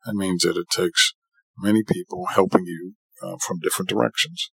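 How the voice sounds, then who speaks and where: A man's voice: low-pitched, moderate pace, fairly bright tone, husky, no echo, rough recording. A middle-aged man, telling his own story for a podcast in an earnest way, often rephrasing for emphasis. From New York City, United States. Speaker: podcast guest, surgeon and professor. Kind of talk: autobiographical monologue for a podcast.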